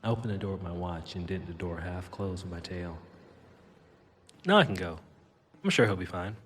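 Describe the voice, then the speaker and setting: A man's voice: deep voice, animated, normal speed, fairly bright tone. A man playing a cocky, wisecracking fugitive with a mechanical tail in an audio drama, slangy and flippant. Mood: wry narrating. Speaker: lead character and narrator. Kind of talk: first-person audio drama narration and dialogue. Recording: long reverb, clear background noise.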